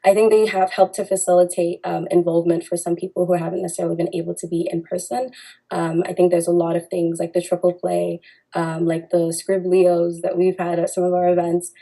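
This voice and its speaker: A woman's voice: lowish voice, little pitch movement, brisk, warm tone, rough recording. A young woman answering as a candidate in a student debate, hesitant and full of fillers. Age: young adult.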